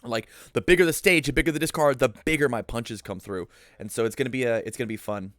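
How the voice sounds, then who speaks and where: A man hosting casual game commentary in an informal, animated way, often repeating phrases for emphasis. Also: medium pitch, fast, dry recording, studio quality. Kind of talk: casual podcast-like commentary chat.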